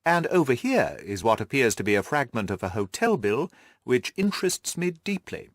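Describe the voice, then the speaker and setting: A man voicing an amused, self-assured gentleman detective in an audiobook, with a teasing, theatrical drawl. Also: medium pitch, sing-song, normal speed, dry recording, natural voice. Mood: calm, teasing. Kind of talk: audiobook character acting.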